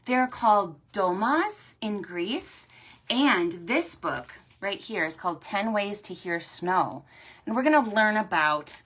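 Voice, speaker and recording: A woman hosting a storytime for preschoolers, talking to small children in an animated, sing-song way. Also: mid-range voice, slow. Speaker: children's librarian and storytime host. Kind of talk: preschool storytime with stretches and songs.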